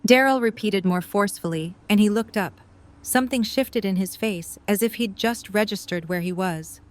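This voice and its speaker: A woman narrates an audio drama from a script. Her voice sits at a medium pitch as she tells the story. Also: normal speed.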